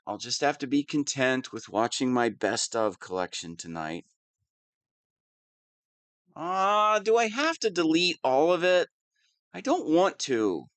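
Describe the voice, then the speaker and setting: A man's voice: fairly high pitch, a bit bright, dry recording. A man playing a whiny, lovestruck young wannabe villain in an audio roleplay, petulant and defensive. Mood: teasing, whiny. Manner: petulant, defensive, swinging between sheepish mumbling and indignant outbursts. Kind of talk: scripted audio roleplay monologue.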